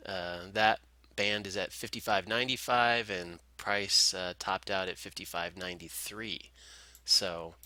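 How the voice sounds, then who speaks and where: Male analyst, lowish pitch with a very sharp, bright edge, informal tutorial delivery, close and dry. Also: steady tone, a little slow, dry recording. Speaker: market analyst presenter. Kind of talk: informal screen-share chart commentary.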